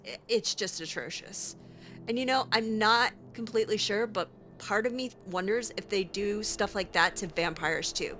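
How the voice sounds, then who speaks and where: A woman's voice: mid-range voice, close to an everyday voice. A woman playing a sarcastic, determined female adventurer in a conversational, opinionated way, as an in-character game roleplay. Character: sarcastic, clever, determined female adventurer who hates cruelty. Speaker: let's play host, role-playing in character. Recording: game music behind the voice.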